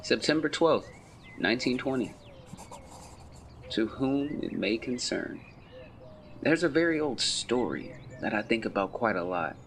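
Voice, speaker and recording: A man's voice: medium pitch, expressive, measured pace, pauses often, a bit bright, slight background noise. An actor playing a brooding, guilt-ridden man of the 1920s, solemnly and formally reading out his final confession in an audio drama. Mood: grave, bitter.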